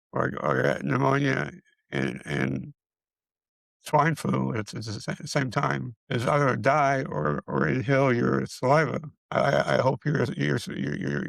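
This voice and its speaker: A middle-aged man speaks in a raspy, deeply gravelly voice, close and dry with no room sound. His speech halts, with stutters and repeated syllables as he runs short of breath. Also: a bit bright, no echo, low-quality recording. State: short of breath, struggling to get words out. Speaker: video creator sharing his illness progress. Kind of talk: casual personal health update.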